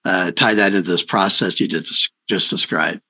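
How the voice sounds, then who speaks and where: A man's voice: sits low, moderate pace, pushed and bright. A man hosting a casual interview, encouraging and attentive, with short interjections. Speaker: interview host.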